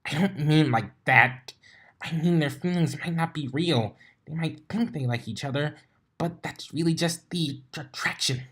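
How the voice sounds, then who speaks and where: A man's voice: a little high, measured pace, fairly bright tone, slightly rough, voice pushed up. A man voicing an anxious, frustrated young female pony scholar who explains things fretfully in a story reading. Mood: worried.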